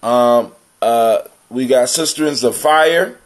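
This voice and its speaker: An American man with a mid-pitched voice hosts a live talk show. He speaks casually, in a folksy manner, addressing listeners as if they were family. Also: takes it slowly. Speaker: host of a live call-in show.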